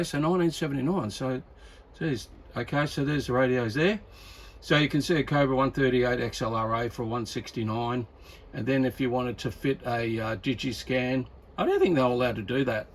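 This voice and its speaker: An Australian man talking casually and unscripted as a hobbyist presenter, rambling and halting as he thinks aloud. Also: medium pitch, slightly rough, rough recording. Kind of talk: casual unscripted product talk. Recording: steady background noise.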